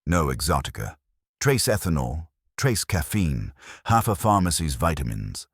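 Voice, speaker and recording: A man voicing a gruff, irritable, weary police inspector with a blunt, grumbling manner in a detective audiobook. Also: a bass voice, very animated, a little slow, no room sound, studio quality, full character voice. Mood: grudging, weary.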